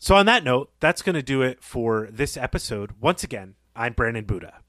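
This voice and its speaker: A man co-hosting a podcast, discussing literature conversationally in a reflective, measured way. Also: mid-range voice, sing-song, fairly bright tone, dry recording. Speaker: podcast co-host.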